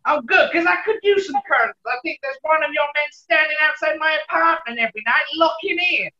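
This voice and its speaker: A woman's voice: sits high, a little fast, bright, forceful tone, highs cut off. A woman playing an earnest, cocky but nervy male tabloid reporter, hesitant yet insistent, in a 1940s radio comedy. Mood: defiant.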